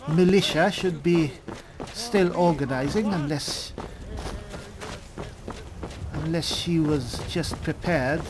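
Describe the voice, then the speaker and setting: A man voicing a wry high elf spellsword and reluctant leader in a video game roleplay, with dry, halting, deliberate delivery. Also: noisy background. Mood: dry musing.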